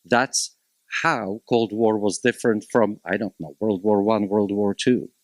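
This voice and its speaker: A man in his fifties from Slovakia with a Slovak accent, speaking as a panelist at a public policy forum, measured and emphatic with frequent hesitations. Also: fairly low pitch, steady tone, no echo. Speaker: panelist from a local college.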